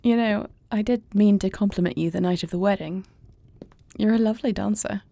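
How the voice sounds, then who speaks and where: A close, dry recording of an earnest young warrior princess, acted by a woman in an audio roleplay. Her voice is mellow with a creaky edge, and she speaks softly in formal, royal phrasing, anxious yet tender. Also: mid-range voice, a little fast, dry recording. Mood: fond, playful. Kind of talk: intimate audio roleplay acting.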